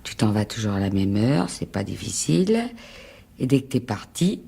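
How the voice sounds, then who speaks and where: An actress playing a frightened, fragile and insistent wife in a French radio drama, speaking in halting, pleading repetitions. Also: female voice, a bass voice, sing-song, gravelly, dark tone, slightly rough, exaggerated voice. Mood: anxious, insistent. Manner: halting, pleading, repeats words.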